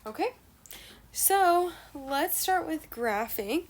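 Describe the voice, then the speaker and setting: A woman's voice: high-pitched, animated, slow pace, a little hiss or hum. A young woman math teacher with a nasal, stuffy voice, patiently explaining a lesson step by step. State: nasal from allergies.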